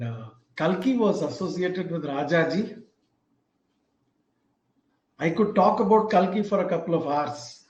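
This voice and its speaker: A man in his fifties with an Indian English accent, telling stories about a book he loves in a reflective, unhurried way. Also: fairly high pitch, a little mellow. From Tamil Nadu, India. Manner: reflective, unhurried storytelling with fillers. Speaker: host. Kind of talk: casual book talk. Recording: echoey, dull lo-fi room sound.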